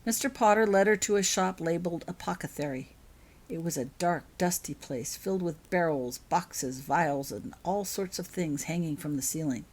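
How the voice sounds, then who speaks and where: A woman's voice: on the low side, moderate pace, a little mellow. A woman reading her own fiction aloud as scripted storybook narration.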